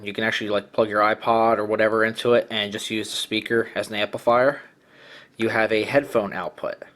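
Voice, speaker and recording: A man's voice: fairly low pitch, a bit bright. A man giving a casual, hands-on product demonstration, explaining things in a plainspoken way. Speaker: product reviewer.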